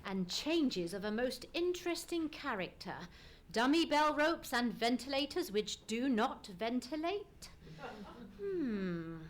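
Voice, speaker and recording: A woman playing a keen, methodical male detective in an old-time radio play, musing and deliberate, humming as she ponders. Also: high voice, sing-song, slight background noise, exaggerated voice. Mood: musing, intrigued. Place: live theatre stage performance.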